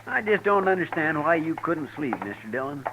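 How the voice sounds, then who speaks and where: An actor with a rural American accent playing an earnest, fretful, homespun deputy sidekick in a 1950s radio western. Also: male voice, a little high, sing-song, fairly quick, dull audio. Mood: folksy, puzzled. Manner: homespun, plainspoken. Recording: noisy, crackly vintage radio recording.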